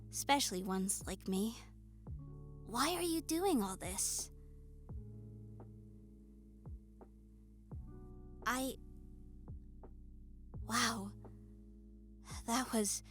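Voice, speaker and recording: A woman voicing a shy, nerdy young goblin woman in a romantic audio roleplay, hesitant, stammering and soft-spoken. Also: mid-range voice, lightly acted. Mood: touched, apologetic. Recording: music behind the voice. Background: soft music.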